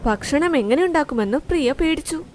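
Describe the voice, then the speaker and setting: A woman's voice: high-pitched, animated, brisk, a little mellow, slight background noise. A woman storyteller reading scripted narration for a moral story.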